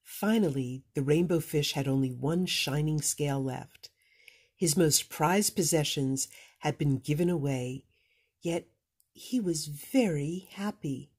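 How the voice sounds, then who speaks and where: A woman reading a children's storybook aloud with expressive narration. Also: low-pitched, unhurried, husky. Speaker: storybook reader.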